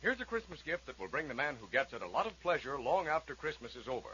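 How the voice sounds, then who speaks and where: A man's voice: mid-range voice, big pitch swings, fast, bright, forceful tone. A man reading scripted advertising copy in a 1940s radio style. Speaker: advertising announcer. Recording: muffled, faintly noisy 1940s radio.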